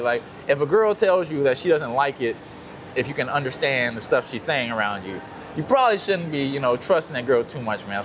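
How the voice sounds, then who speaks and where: A man's voice: medium pitch, slight background noise. A man casually telling a story, rambling with lots of fillers, outdoors in a city. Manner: rambling, many fillers, relaxed. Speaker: guest.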